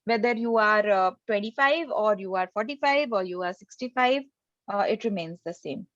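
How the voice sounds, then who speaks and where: A woman's voice: medium pitch, moderate pace, dry recording, highs cut off. A woman presenting an insurance scheme on a video call, explaining step by step with many fillers and hesitations.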